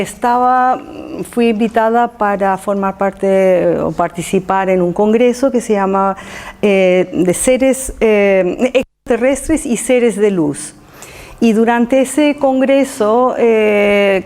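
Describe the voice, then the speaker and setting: A woman who is not a native speaker, giving an informal public talk and often halting to search for words. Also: mid-range voice, darkish tone. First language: not Spanish.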